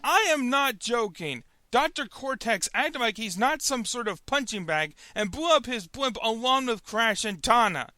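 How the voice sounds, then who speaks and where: A man's voice: very high-pitched, animated, a little slow, few pauses, sharp tone, dry recording. A man hosting a web review show, giving scripted comedic commentary in an emphatic, mock-indignant manner.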